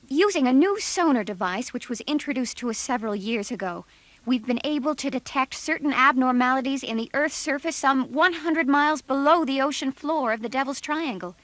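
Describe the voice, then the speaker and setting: A woman's voice: sits high, lively intonation, normal speed, a bit bright, no room sound. An actress playing a confident female geophysicist giving a precise, formal briefing in a 1970s audio drama. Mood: earnest, precise. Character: confident female geophysicist presenting a momentous discovery.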